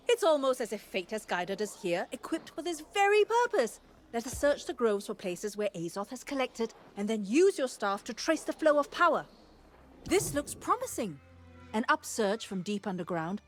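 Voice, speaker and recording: Curious scholar companion, fantasy video game, high voice, analytical, articulate, faint music. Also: female voice. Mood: excited, then focused, eager. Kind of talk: video game dialogue acting.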